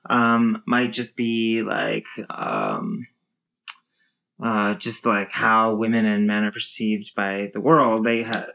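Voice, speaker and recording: A woman in her thirties with a low voice, talking casually and thoughtfully with many fillers and restarts. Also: expressive, takes it slowly, vocal fry, a bit bright. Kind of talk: casual conversation.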